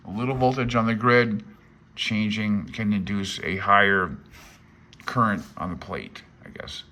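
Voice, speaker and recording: A man's voice: on the low side, steady tone, measured pace, bright, forceful tone. A man in his fifties giving an informal read-along tutorial, thinking aloud in a hesitant, self-deprecating way. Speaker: amateur radio hobbyist explaining a handbook.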